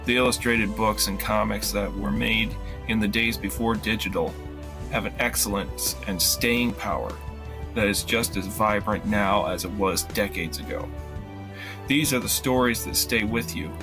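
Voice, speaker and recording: An illustrator, a man, reading an earnest, measured scripted promo for his own work. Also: on the low side. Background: background music.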